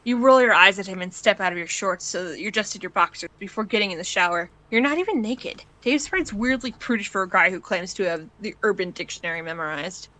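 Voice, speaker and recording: A woman's voice: mid-range voice, brisk, hardly any pauses, pushed and bright, low-quality recording. A woman reading scripted second-person fan fiction aloud as the narrator.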